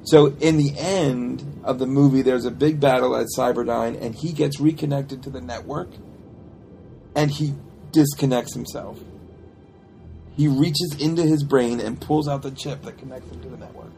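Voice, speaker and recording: A man co-hosting a casual film review chat, explaining things in an animated, halting way. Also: medium pitch, creaky, soft background music. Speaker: podcast co-host reviewing films.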